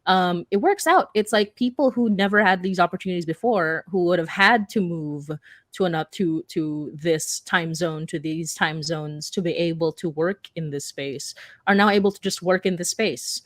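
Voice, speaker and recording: Woman from the Philippines, slightly low voice, dry recording, casual panel talk, self-deprecating, informal podcaster. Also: normal speed, no echo. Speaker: audio drama podcaster and panelist.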